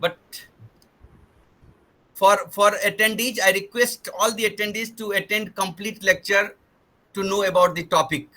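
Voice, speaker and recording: A man's voice: high voice, sharp tone, low-quality recording. A man hosting an online science webinar, earnest and formal, often repeating and rephrasing himself over a video call. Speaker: webinar host.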